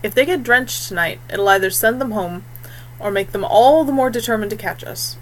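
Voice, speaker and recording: A woman voicing a calm, reflective young man on the run in a storybook, with a measured manner. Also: medium pitch, slight background noise, close to an everyday voice, poor audio. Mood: calm reasoning.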